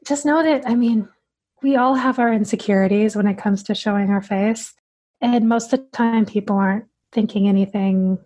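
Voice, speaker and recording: A woman's voice: on the high side, darkish tone. A woman speaking as a dietitian guest on a podcast, answering in a direct, earnest way.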